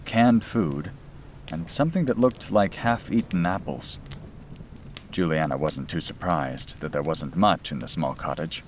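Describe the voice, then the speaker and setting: A man reading a scripted bedtime story as its narrator. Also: deep voice. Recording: clear background noise and ambience.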